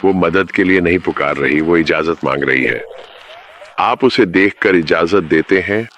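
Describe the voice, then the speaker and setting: Deep-voiced man narrating ominous horror. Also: a little slow, fairly bright tone, a little hiss or hum. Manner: ominous, addresses the listener directly.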